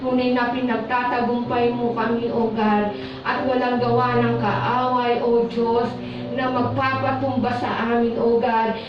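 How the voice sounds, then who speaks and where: Echoing, slow-praying Filipino woman. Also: fairly high pitch, little pitch movement, noisy background. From Philippines. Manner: devout, pleading, repeatedly calling on God in short phrases. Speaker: prayer leader at a church service. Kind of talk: fervent spoken closing prayer with benediction. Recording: echoey church hall, distant muffled mic, music behind. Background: worship music.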